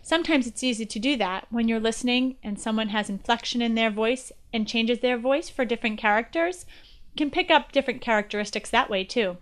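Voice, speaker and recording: American female guest presenter, slightly high voice, chatty educational podcast read. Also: a little fast.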